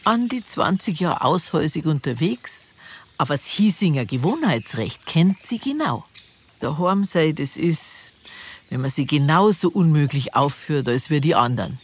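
Narrator: woman playing wry, knowing village gossip, Bavarian dialect, very low female register, audio drama storytelling. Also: big pitch swings, normal speed, a little hiss or hum.

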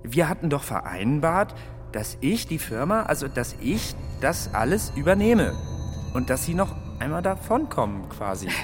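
A man's voice: a little high, very animated, moderate pace. An actor playing a flustered man in a radio drama, stammering and repeating himself in disbelief. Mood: indignant. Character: flustered man outmanoeuvred in a shady business deal. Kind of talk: German radio drama acting. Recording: reverberant hall with music behind.

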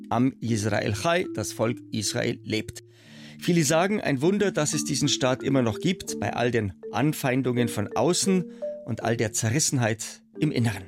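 A man hosting a radio program, speaking in a measured, solemn way. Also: medium pitch. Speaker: radio host. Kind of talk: scripted radio presentation.

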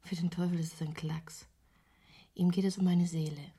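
An actress playing an intense, wry woman painter in a radio play, giving terse answers in a hushed, breathy voice. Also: on the low side, level tone, moderate pace. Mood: dry, wry. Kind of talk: intimate German radio play dialogue.

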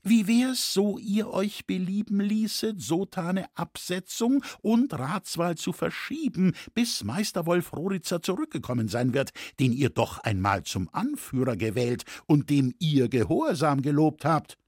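A husky old craftsman from a historical storybook, played by a man, wry and mock-earnest. His phrasing is flowery and ironic, with wide swings in pitch, recorded close and dry. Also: expressive, unhurried, hardly any pauses, darkish tone, dry recording. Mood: flattering, persuasive. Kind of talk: audiobook character acting.

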